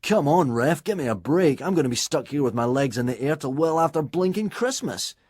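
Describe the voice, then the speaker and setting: A man voicing an excitable teenage boy in an audiobook, jokey, incredulous and mock-grumpy. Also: a little high, a little fast, breathy edge, no room sound, studio quality, pitched above the performer's own voice. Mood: mock grumbling.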